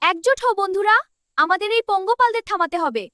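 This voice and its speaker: A woman's voice: very high voice, animated, brisk. A woman voicing a proud, brave cauliflower queen in a children's cartoon. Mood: commanding. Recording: music behind the voice.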